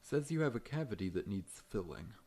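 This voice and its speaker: A man playing a large, friendly scorpion monster dentist in an ASMR roleplay, talking in a gentle, reassuring, professional way. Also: lowish voice, very animated, fairly quick, slightly rough, exaggerated voice.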